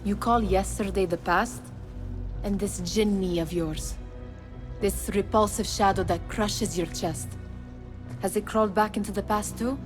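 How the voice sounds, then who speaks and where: Insistent, haunting woman for a video game, female actor: mid-pitched, intense, needling, with score behind. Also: steady tone, unhurried, over music, close to an everyday voice. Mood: taunting, pleading. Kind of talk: dramatic video game acting.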